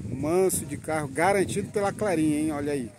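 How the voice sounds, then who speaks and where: A man's voice: a little high, a little fast. A man reporting casually from an outdoor cattle fair, upbeat and full of repeated catchphrases. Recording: loud outdoor noise.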